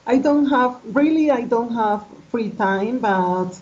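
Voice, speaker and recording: Slow, hesitant woman learner, muffled audio. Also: on the high side, lively intonation, a little mellow. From Colombia. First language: Spanish. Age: 30s. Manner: hesitant, halting English with many fillers. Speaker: student. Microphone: video call.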